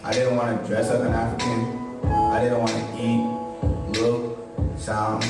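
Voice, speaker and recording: A young man from Tanzania reciting a spoken word piece in a measured, earnest way. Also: medium pitch, monotone, very echoey, far from the mic. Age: young adult. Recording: music playing underneath.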